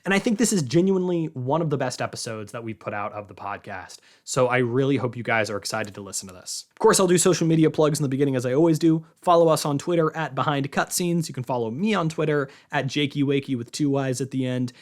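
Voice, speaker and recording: A man's voice: mid-range voice, fast, hardly any pauses. A man in his early twenties hosting a podcast in a casual, informal, rambling way. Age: early 20s. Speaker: podcast host.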